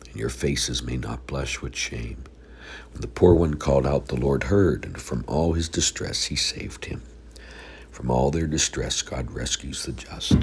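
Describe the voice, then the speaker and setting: Very deep gravelly stuffy-voiced male priest. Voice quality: gravelly, breathy low voice. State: stuffy from a sinus infection. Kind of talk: liturgical readings and homily.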